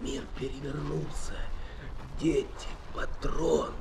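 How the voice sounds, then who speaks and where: An actor playing a fretful, whining tavern owner who laments in a radio play. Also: male voice, on the high side, flat delivery, takes it slowly, creaky, background noise. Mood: woeful. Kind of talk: Soviet radio play acting.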